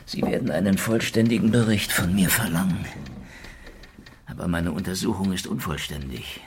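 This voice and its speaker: An actor playing a cynical, lovesick, middle-aged Soviet investigator in a noir radio drama, with a gravelly voice and a sardonic, dry manner. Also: male voice, low voice, moderate pace, a little hiss or hum, natural voice. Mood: indignant, pressing. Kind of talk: noir radio drama acting with inner monologue.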